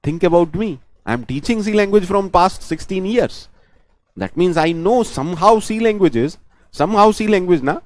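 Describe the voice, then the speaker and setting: A programming teacher with an Indian English accent and Hindi as his first language, his voice sitting fairly high for a man. He keeps repeating lines and asking "am I right?" before answering for his class. The recording is dry and close, with no room around him. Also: expressive, moderate pace, no echo. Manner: repeats phrases, asks "am I right?" and answers for students. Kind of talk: online lecture to students.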